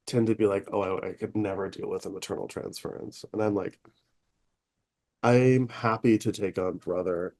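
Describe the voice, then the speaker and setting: A man with a fairly low, deep voice talks through his work as a therapist. He speaks candidly and reflectively, with easy fillers and restarts, in the loose tone of a podcast conversation. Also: steady tone, moderate pace, darkish tone. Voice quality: relatively deep voice. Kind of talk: casual chat.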